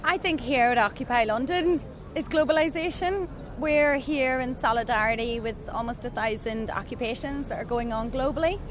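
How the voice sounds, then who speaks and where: A female protester speaks earnestly outdoors in a protest camp, as if giving a prepared statement. Her voice sits slightly high. Street and traffic noise is clearly audible. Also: normal speed. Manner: earnest, speech-like.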